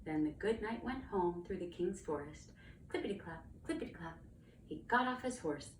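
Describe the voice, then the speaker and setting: A woman's voice: on the low side, animated, moderate pace. A woman narrating a children's storybook with dramatic, emphatic storytelling. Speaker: storybook reader, cantor. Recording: roomy, distant mic, faint noise.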